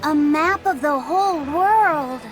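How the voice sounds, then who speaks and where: An earnest young woman of a holy order speaks in a high, polite voice. It is animated game acting over faint music. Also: takes it slowly. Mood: wide-eyed. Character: earnest, polite, naive young female exorcist.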